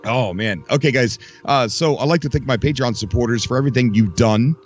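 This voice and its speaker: A man's voice: medium pitch, brisk, muffled recording. Chatty man hosting a live stream. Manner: chatty, rambling, upbeat, greets chat viewers by name. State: voice recovering from loss, skipping a beat. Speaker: host of a live gaming stream. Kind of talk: casual live-stream chat. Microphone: desk mic. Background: faint game music.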